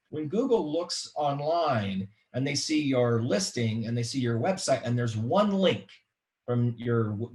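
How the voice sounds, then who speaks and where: A middle-aged man with an American accent explaining informally on a video call, halting and repeating words as he goes. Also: mid-range voice. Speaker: webinar guest presenter, marketing expert. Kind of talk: informal explanatory webinar talk. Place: home room, indoors.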